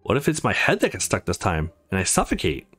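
A man's voice: sits low, wildly swooping pitch, fast talker, fairly bright tone, pitched below the performer's own voice, exaggerated voice. A man voicing an anxious, hot-tempered, desperate young man in a visual novel, over music. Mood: worried. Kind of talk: visual novel dialogue read aloud.

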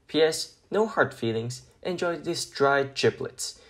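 A man voicing a gruff, indignant woman butcher in a children's picture book, blunt and scolding. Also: a little high, lively intonation, measured pace. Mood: indignant, dismissive.

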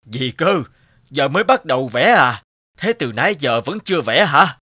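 A man's voice: a little high, big pitch swings, normal speed, bright, forceful tone, dry recording, clean studio sound, exaggerated voice. A man voicing an older male farmer in a cartoon, plain-spoken, curious and blunt. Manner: blunt, folksy. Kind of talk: cartoon dubbing. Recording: music behind the voice.

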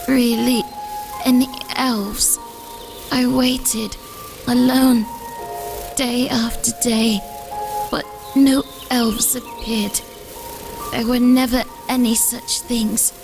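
A heartbroken young girl acted by a woman for a dramatic motion comic. Her voice is high and very slow, full of halting, wistful sorrow, with music playing behind it. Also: creaky.

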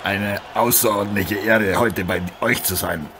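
Male actor as proud boxing champion guest, fairly low pitch, cocky, formal, halting, radio play, noisy arena ambience. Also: steady tone, pushed and bright. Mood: gracious. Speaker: guest athlete being interviewed. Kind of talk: improvised radio play interview.

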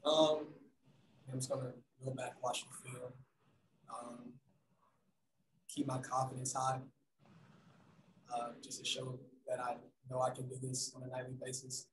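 A young man answering post-game press questions in a soft, hesitant way with many pauses and ums, over a video call. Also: mid-range voice, little pitch movement, noisy background. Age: young adult. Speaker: basketball player at press conference. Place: room in front of team backdrop. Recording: roomy video call audio.

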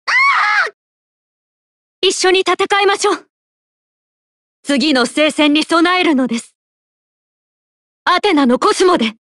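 Youthful, solemn goddess for an anime game. High, measured, formal and resolute. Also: female voice, very animated, sharp tone, no room sound, studio quality, full character voice. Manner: formal, dignified, polite. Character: young goddess of war, solemn, gentle and resolute. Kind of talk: anime battle game voice lines.

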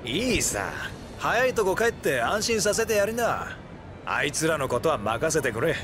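An actor playing a brash but loyal, easygoing fighter in a video game, talking in a rough, casual way. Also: male voice, fairly high pitch, sing-song, normal speed, music in the background. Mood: reassuring. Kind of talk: Japanese video game cutscene acting.

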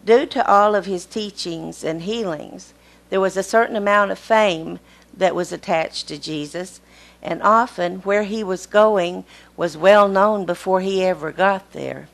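A woman lay speaker delivering a sermon at a pulpit microphone in a small church, in a steady storytelling manner. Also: mid-range voice, a little slow, creaky.

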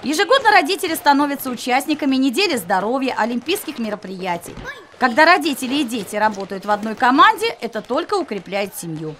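A woman's voice: sits high, sing-song, fast, fairly bright tone, slight background noise. A woman reading scripted narration as a reporter for a news story.

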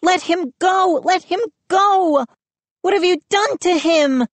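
A woman's voice: sits high, animated, unhurried, warm tone, dry recording, voice pushed up. A woman voicing an indignant, easily alarmed girl who shrieks and scolds in an audiobook. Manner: shrieking, screaming. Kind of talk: audiobook character voice.

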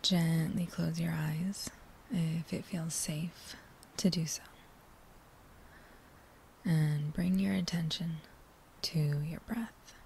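A woman guiding a meditation in a breathy whisper, gentle and soothing, with long unhurried pauses between phrases. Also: sits low, steady tone, a little hiss or hum, rough recording. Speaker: meditation guide. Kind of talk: guided meditation, whispered soft-spoken.

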